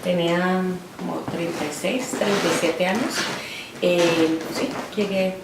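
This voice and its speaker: A Colombian woman in her forties, an artist reflecting in an oral history interview with a halting, filler-heavy delivery. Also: on the low side, fairly even intonation. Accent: Colombian Spanish. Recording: roomy, distant mic, faint noise.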